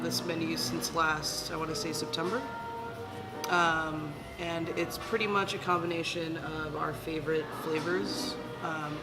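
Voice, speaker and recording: A man from Hawaii, a head chef, talking casually in an interview with a relaxed, rambling manner full of ums. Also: fairly high pitch. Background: loud background music.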